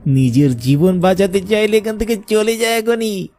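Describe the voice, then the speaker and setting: A man voicing a menacing ghost who gives a drawn-out threat in a horror cartoon. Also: high-pitched, a little mellow, slight background noise, close to an everyday voice. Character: menacing ghost man with burning bleeding eyes.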